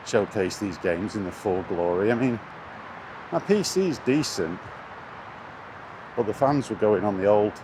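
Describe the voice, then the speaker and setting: Low-voiced man chatting outdoors amid noise. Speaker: gaming YouTuber. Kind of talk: casual rambling chat, walkie-talkie vlog. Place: outdoors, walking near traffic. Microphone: handheld camera. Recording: noisy outdoor recording with urban traffic. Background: outdoor urban and vehicle noise.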